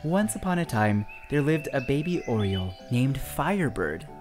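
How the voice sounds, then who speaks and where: A narrator reading a children's storybook aloud in an expressive, dramatic and deliberate storytelling style. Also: medium pitch. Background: music.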